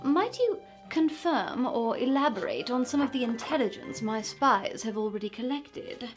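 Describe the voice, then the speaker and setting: A woman playing a mockingly polite smuggler boss villainess who taunts a captive with deliberate, condescending menace in a fantasy roleplay. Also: a little high, lively intonation, faint music behind, lightly acted. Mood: smug scheming. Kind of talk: point-of-view fantasy roleplay.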